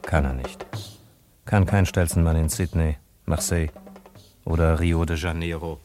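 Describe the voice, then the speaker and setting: An actor playing a wry, chatty narrator who addresses the listeners in a 1950s-style German radio drama. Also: male voice, very deep voice, flat delivery, normal speed, music in the background. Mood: sardonic, musing.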